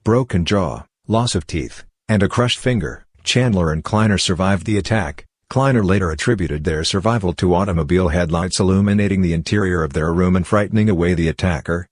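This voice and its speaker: A man reading scripted true-crime documentary narration in a measured, formal manner. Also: low-pitched, darkish tone, no room sound, studio quality.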